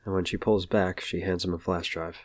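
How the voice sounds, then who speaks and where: A man's voice: low-pitched, flat delivery, fairly quick. A man giving scripted narration for an audio drama.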